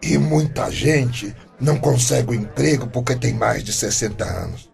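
Creaky, grumbling old Brazilian man. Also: medium pitch, animated, moderate pace, darkish tone, faint music behind. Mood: earnest. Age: older. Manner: exclamatory, indignant. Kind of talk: radio drama acting.

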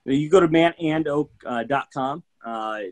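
A man's voice: a little high, a little slow. A middle-aged man from Michigan, a bourbon educator, talking casually on a video call from a room at home, rambling through many fillers with an eager, upbeat manner. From Michigan, USA. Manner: rambling, filler-heavy, enthusiastic.

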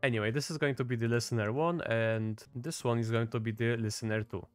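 A man hosts a casual screen-recorded software tutorial in a mid-pitched voice. He explains each step informally, with music playing behind him. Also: fairly even intonation, brisk. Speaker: tutorial host. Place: voice-over at a computer.

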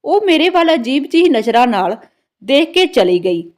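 A woman's voice: sits high, very animated, normal speed, darkish tone. A woman narrating a scripted first-person story in a dramatic storytelling manner.

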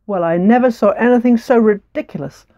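A woman's voice: fairly high pitch, fairly quick, warm tone, dry recording, highs cut off, lightly acted. Sneering blunt older brother type, scoffing - woman storyteller doing him, dry close sound. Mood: scornful. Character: scornful blunt young man, the jealous brother.

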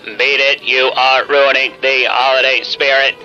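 An actor playing a hearty but short-tempered store Santa in an audio drama. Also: male voice, mid-range voice, level tone, unhurried, pushed and bright. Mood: irritated. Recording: dull band-limited sound, faint music.

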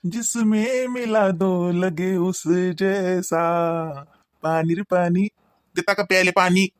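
A man voicing a bantering cartoon husband from a comedy. His voice sits high and is recorded dry and close. He teases in a Varhadi Marathi accent, like someone always on his way out to work. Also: fairly even intonation, slow, smooth, dry recording. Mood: playful. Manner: bantering, teasing.

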